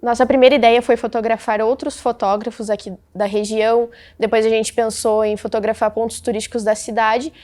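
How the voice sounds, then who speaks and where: A nineteen-year-old woman with a slightly high voice answers interview questions. Her delivery is plain and matter-of-fact. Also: little pitch movement, fairly quick, hardly any pauses, darkish tone.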